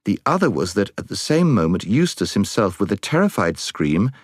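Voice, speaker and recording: Deep, expressive male audiobook narrator. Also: moderate pace, dry recording, clean studio sound.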